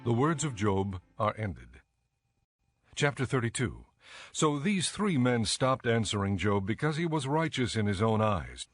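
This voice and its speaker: A man giving measured, formal scripted narration for a dramatized audio Bible. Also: mid-range voice, sing-song, no room sound, faint music behind.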